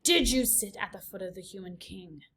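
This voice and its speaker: A woman's voice: mid-range voice, moderate pace, fairly bright tone, close to an everyday voice. A woman voicing an elderly male mouse leader who speaks formally and commandingly. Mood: stern.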